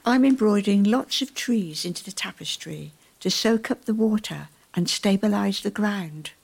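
Woman acting an earnest river volunteer, creaky voice. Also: mid-range voice, normal speed, a little mellow, poor audio. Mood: concerned. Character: earnest woman volunteer fish counter and embroiderer. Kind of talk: scripted community radio play.

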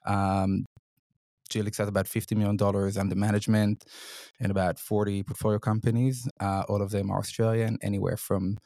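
A middle-aged man answers interview questions in a low, flat, matter-of-fact voice. He pauses often on "uh" and "um". The recording is dry and close. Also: dry recording. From Israel. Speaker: venture fund CEO, guest.

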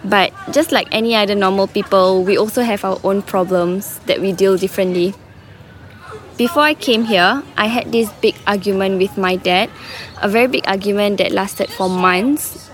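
A young woman from Singapore telling a personal story to a live audience, candid and halting, with many fillers and restarts. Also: mid-range voice. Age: young adult. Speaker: student storyteller. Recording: faint room ambience behind a live talk.

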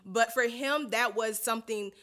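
A woman's voice: on the high side, normal speed, pushed and bright. A young woman from Haiti co-hosting a casual podcast chat, earnest and often restarting her sentences. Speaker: podcast co-host.

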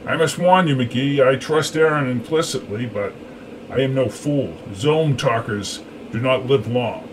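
A man's voice: medium pitch, unhurried, lightly acted. A man voicing a commanding, suspicious rebel leader in an audiobook, with faint hum behind him. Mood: guarded warning.

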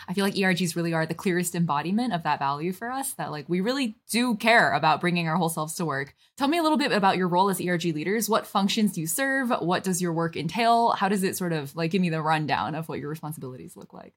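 Woman podcast host, rapid and bubbly interviewer, lower-middle pitch. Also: lively intonation. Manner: upbeat, effusive, frequent "like" fillers.

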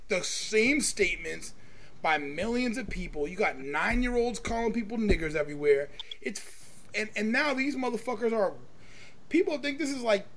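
A man's voice: very high-pitched, a little hiss or hum. A man hosting a podcast, talking casually and emphatically about his opinions, with plenty of swearing. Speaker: podcast host.

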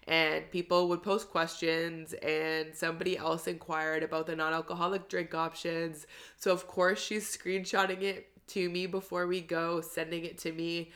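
A woman's voice: fairly low pitch, creaky, a bit bright. Congested woman hosting a chatty podcast. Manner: candid, chatty, with frequent "like" and "so". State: slightly congested from a cold. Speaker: podcast host. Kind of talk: solo podcast monologue.

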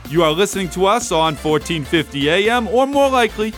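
Upbeat high-voiced radio host, man. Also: lively intonation, fairly quick, fairly bright tone, faint music behind. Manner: chatty, rambling, upbeat. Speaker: radio talk show host. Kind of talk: radio talk show hosting.

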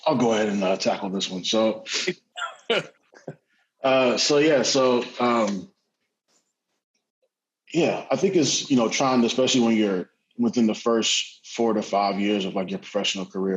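Deep-voiced man of 25, rambling panel guest, muffled lo-fi recording. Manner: thinks aloud with many fillers. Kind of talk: casual chat.

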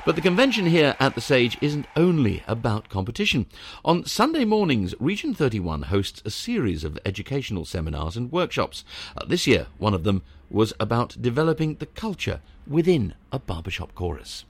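A man's voice: mid-range voice, huge pitch swings, moderate pace, slightly rough. A man presenting and interviewing for a radio programme in an enthusiastic way.

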